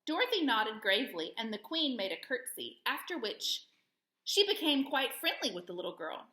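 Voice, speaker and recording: A woman reads a children's story aloud with expressive, animated delivery. Her voice is fairly high and bright, and the room sounds echoey. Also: normal speed.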